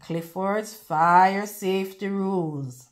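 A woman reading a picture book aloud to children in a teacherly way, pausing to prompt them with questions. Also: mid-range voice. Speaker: read-aloud host.

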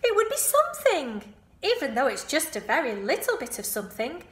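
A woman voicing a lonely young male pig who whines and wails in a high plaintive voice. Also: wildly swooping pitch, a little fast, roomy sound. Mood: indignant.

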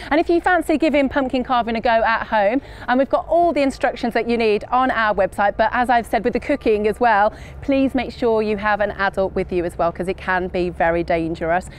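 Woman presenter in her thirties, high bright voice, upbeat and encouraging with kids, lapel mic in a farm polytunnel, faint background hum. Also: brisk, hardly any pauses. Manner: upbeat, encouraging, addresses children. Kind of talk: live online field trip hosting.

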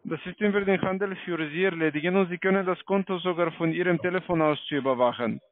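A man's voice: sits high, fast talker. A man with a foreign accent and non-native German, working through a stilted, formal sales script as a call-centre agent. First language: not German. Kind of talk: scripted phone sales pitch. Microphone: telephone. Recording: lo-fi muffled phone call.

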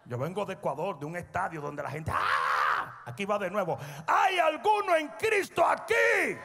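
A middle-aged male pastor preaching a sermon on a large church stage, swinging from hushed asides to shouted peaks and calling on the crowd to answer. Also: high-pitched, wildly swooping pitch, normal speed, vocal fry, a little hiss or hum. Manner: prompts the crowd for responses. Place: large church stage before a seated audience. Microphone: handheld microphone. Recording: long hall reverb with faint music.

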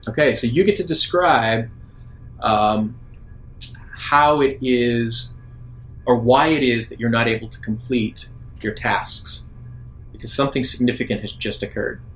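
A man acting as game master, improvising descriptive tabletop narration and often pausing mid-phrase. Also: medium pitch, fairly bright tone, low-quality recording. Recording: background music under voice.

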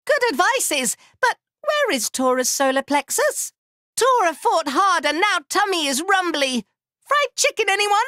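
A tiny, round furry inventor creature from a video game, with an extremely high, squeaky voice. It is proud and excitable, with bright, forceful delivery and childlike broken grammar. The recording is close and dry. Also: male voice, a little slow, dry recording, full character voice. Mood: excitable, eager. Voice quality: squeaky, cartoonish. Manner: childlike broken grammar, third-person self-reference. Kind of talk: video game character voice lines.

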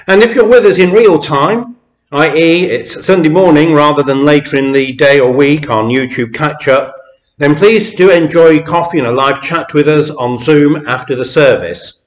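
A middle-aged man, a church minister, giving notices and blessings to camera in a measured, formal way inside a stone church. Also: medium pitch. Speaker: church minister leading a service. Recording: echoey stone church interior.

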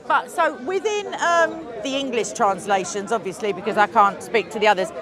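A woman in her forties debating religion outdoors in a city park on a lapel mic, quick, assertive and wry. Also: a little high. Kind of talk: street debate. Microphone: clip-on lapel mic. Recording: crowd murmur behind.

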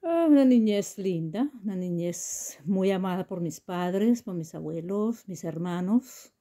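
An older woman with a Colombian accent answering casual interview questions in a halting, affectionate way. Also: mid-range voice, expressive, warm tone.

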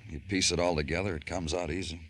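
Low-voiced, terse police detective. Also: male voice, steady tone, fast talker, fairly bright tone. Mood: musing, weary. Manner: clipped, dry questioning. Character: terse, world-weary male police detective. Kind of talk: old-time radio crime drama acting. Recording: hissy old-time radio recording.

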